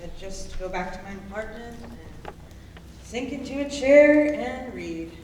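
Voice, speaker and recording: A woman's voice: a little high, expressive, a little slow, a little mellow. A hard-shelled woman convict, played by a stage actress, blunt and guarded. She is heard at a distance in a heavily echoing room, as in a live staged reading. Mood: guarded, reflective. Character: hardened, curt, bookish former runaway woman prisoner. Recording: very echoey room, far mic, faint noise.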